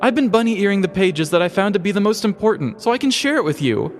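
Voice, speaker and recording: A man's voice: high-pitched, sing-song, fast, big-room sound, soft background music, pitched above the performer's own voice. A man voicing an infatuated, earnest young woman who gushes about her steamy novel in a comic story. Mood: eager.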